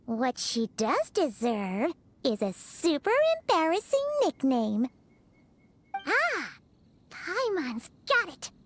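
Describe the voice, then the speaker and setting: A woman voicing a small, childlike, excitable fairy companion in a video game, squeaky and sassy, speaking in exclamations. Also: slow pace, slight background noise, full character voice. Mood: miffed, teasing. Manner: exclamatory, refers to herself in third person. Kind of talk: animated video game voice acting.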